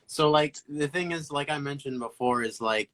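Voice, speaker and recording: A man's voice: a little high, moderate pace, fairly bright tone. A young man, born in Haiti and raised in the US, chatting casually and conversationally with some slang. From born in Haiti, moved to the US when very young. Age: young adult. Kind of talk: casual chat.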